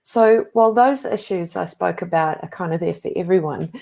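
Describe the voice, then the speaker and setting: A woman's voice: fairly low pitch, moderate pace, gravelly, dark tone. New Zealand woman telling a conference story. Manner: conversational storytelling with asides. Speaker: conference speaker. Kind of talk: conference talk.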